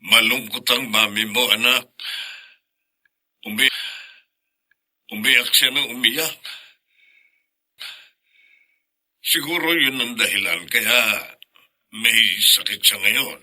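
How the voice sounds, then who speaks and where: An actor playing a gentle, sorrowful older grandfather with halting, measured delivery in a radio drama. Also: mid-range voice, no room sound, exaggerated voice. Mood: sad, halting.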